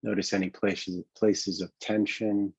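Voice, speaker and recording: A man with a husky voice leads a guided meditation as a coach, speaking gently and conversationally, unhurried and halting, with long pauses. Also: lowish voice, little pitch movement. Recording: dull, boxy, roomy lo-fi sound.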